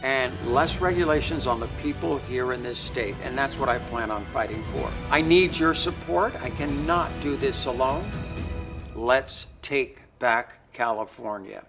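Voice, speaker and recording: A man's voice: mid-range voice, unhurried. A man giving an emphatic campaign ad speech, repeating short slogans. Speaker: political candidate in an ad. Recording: campaign ad over background music. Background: music.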